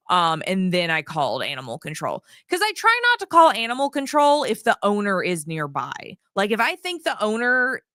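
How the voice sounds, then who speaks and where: Woman, hoarse, streamer, casual rambling chat, bright, dry. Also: lowish voice, no room sound. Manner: rambling, many ums and restarts. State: hoarse from yelling.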